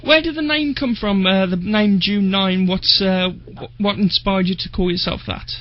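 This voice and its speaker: A man in his thirties hosting a radio interview, asking questions in a friendly, halting way. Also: high-pitched. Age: 30s. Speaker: radio host. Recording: muffled lo-fi radio recording.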